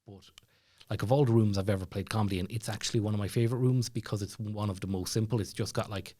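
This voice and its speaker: A man's voice: on the low side, fairly even intonation, quick pace, warm tone. A man with an Irish accent telling stories casually as a podcast guest, chatty and self-deprecating, like a stand-up comedian off stage. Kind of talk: casual storytelling chat.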